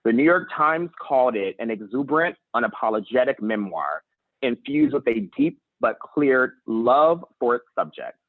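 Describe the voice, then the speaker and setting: A man's voice: mid-range voice, very animated, moderate pace, no room sound. A young man moderating an online event, giving semi-formal introductions with emphatic, repeated words for stress. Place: indoors, online video meeting, close dry sound. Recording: thin phone-like online call audio.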